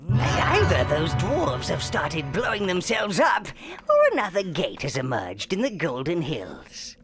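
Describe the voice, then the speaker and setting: Gravelly old minion servant, wheedling, unhurried drawl, loud score behind. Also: male voice, a little high, huge pitch swings, full character voice. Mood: scheming. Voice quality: gravelly, creaky. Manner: wheedling, sly and darkly gleeful. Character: old gravelly goblin-like minion advisor, sly and gleeful. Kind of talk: game cutscene voice acting. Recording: voice over loud game music.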